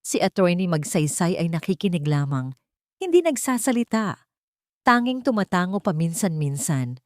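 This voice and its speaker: A Filipino woman reads a scripted dramatic story. Her voice sits fairly low. The sound is dry, free of room echo. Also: very animated, moderate pace, a little mellow. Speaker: story narrator. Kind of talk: scripted dramatic audiobook narration.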